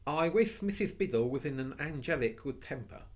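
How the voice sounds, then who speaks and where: A man's voice: mid-range voice, big pitch swings, moderate pace, pitched above the performer's own voice. A man voicing an earnest, kind young girl who talks soothingly.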